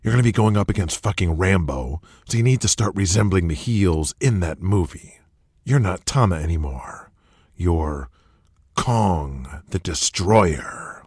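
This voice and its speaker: An actor playing a blunt, impatient wrestling promoter with a husky, raspy voice in an audio drama. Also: male voice, deep voice, lively intonation, measured pace, a little mellow, dry recording, studio quality, heavily acted. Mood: impatient, bossy. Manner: blunt, curt, bullying. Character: gruff, impatient, domineering male wrestling promoter boss.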